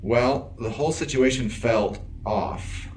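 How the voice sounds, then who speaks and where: An actor playing an earnest, principled android security officer in a science fiction audio drama, speaking in precise, formal sentences. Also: male voice, mid-range voice, darkish tone, slight background noise, natural voice. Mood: serious, explaining. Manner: precise, formal, measured wording.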